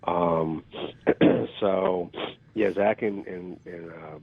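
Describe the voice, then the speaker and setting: Casting note: deep, husky-voiced man, self-deprecating storyteller, heard over a tinny phone line. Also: steady tone, drawn-out words. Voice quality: raspy. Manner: rambling, self-deprecating, with filler ums. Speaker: actor guest. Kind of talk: casual interview storytelling. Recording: phone-like call audio.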